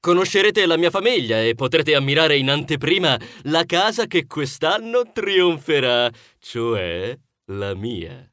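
A man's voice: fairly high pitch, big pitch swings, a little slow, hardly any pauses, fairly bright tone, no room sound, studio quality. A man voicing a smug, brash male rodent neighbour in a storybook, boastful and condescending, with cutesy turns of phrase. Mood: cocky, taunting.

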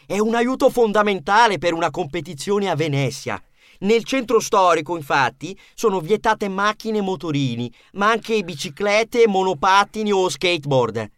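A man's voice: sits high, animated, normal speed, fairly bright tone, no room sound, voice pushed up. A man voicing a jovial gondolier who proclaims contest news in a children's story. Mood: explaining. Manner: hearty, proclaiming, teasing. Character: jovial male Venetian gondolier announcing a contest.

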